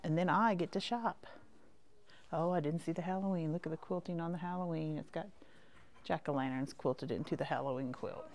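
Lady vlogger doing a rambling shop tour, gravelly voice, kinda low, chatty and folksy. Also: brisk, darkish tone, a little hiss or hum. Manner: chatty, folksy, trailing off between short remarks. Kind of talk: casual rambling tour commentary. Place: indoors, walking through a quilt shop.

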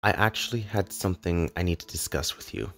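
A man playing a grave, lovelorn immortal in an animated drama, speaking earnestly in measured, formal, poetic phrases. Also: sits low. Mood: tender, hesitant. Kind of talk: dramatic animated-film voice acting. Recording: echoey hall reverb, music underneath.

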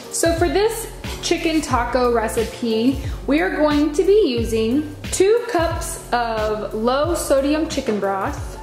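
A high-pitched American woman gives a casual cooking demonstration in a home kitchen. She explains each step in a chatty way. The room is echoey and music plays behind her.

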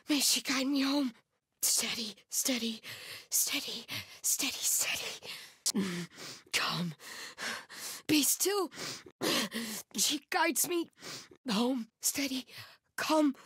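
An actress playing a zealous, hostile cult warrior in a video game, delivering terse, intense lines in short phrases. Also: female voice, high-pitched, a bit bright, breathy edge, dry recording, studio quality, exaggerated voice. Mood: hushed, devout. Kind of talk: combat barks and effort lines for an action game.

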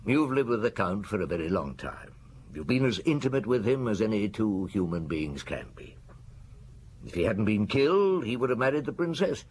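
An actor playing a middle-aged police detective in a radio drama, patient, deliberate and probing, with a husky voice. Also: male voice, lowish voice, very animated, darkish tone, a little hiss or hum. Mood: grave, pressing. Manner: deliberate, probing, grunting short replies.

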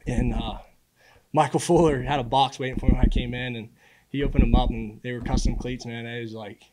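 A man in his early twenties, a college quarterback, answering press questions in a casual, earnest way with halting repeated words. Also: mid-range voice, steady tone, over a noisy crowd, rough recording. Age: early 20s. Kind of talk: postgame press conference answers. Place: indoor press room at a podium. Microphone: podium microphone.

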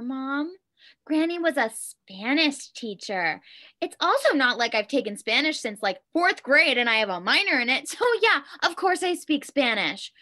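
A woman's voice: high voice, pushed and bright, full character voice. A woman in her twenties playing an exasperated, sarcastic daughter held captive, in a play reading over a video call. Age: 20s. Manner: sarcastic, foul-mouthed, pleading. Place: home kitchen, indoors.

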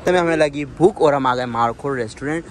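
A young man vlogging casually on a handheld phone, chatty and excitable as he shows places and food. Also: a little high, fairly quick, noisy background. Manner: chatty, excitable, calls out to children playfully. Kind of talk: casual travel vlog narration. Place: outdoors by the sea, then a mall and a restaurant. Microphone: handheld phone camera.

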